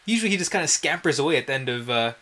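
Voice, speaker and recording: A man's voice: fairly high pitch, animated, racing, pushed and bright. Casual, wry man doing let's play commentary. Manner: wry, self-deprecating, mild swearing.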